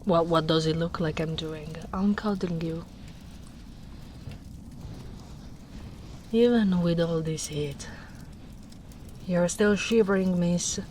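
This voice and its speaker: Woman playing a slow, soft-spoken gentle ogress. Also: on the low side, close to an everyday voice. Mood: tender, teasing. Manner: soothing, unhurried, gently teasing. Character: gentle big strong female ogre, caring, teasing rescuer. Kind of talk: soft-spoken ASMR roleplay. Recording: noisy storm ambience behind voice.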